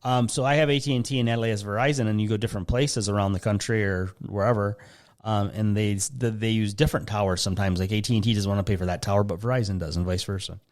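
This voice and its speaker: A man with a Midwestern American accent and a fairly low voice hosts a casual talk show. He wanders through listener questions, breaking off his own sentences and dropping in joking asides. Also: steady tone, quick pace. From Lansing, Michigan. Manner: rambling, jokey asides, interrupts himself. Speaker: podcast host.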